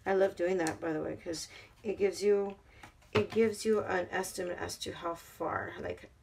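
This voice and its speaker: A hoarse woman chats her way through a craft tutorial at her worktable, her voice gravelly and rough. The camera mic picks up a noticeable hiss behind her. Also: on the low side, fairly even intonation, normal speed, a little mellow. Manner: chatty, talks while working with her hands. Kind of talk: casual craft tutorial narration. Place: craft table at home.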